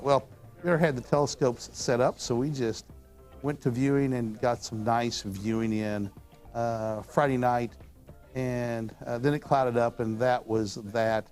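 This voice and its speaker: An older man hosting an astronomy show in a studio, hoarse after a weekend of talking, with a folksy, rambling way of telling stories. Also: medium pitch, darkish tone. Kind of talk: casual studio chat. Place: studio with telescopes on display.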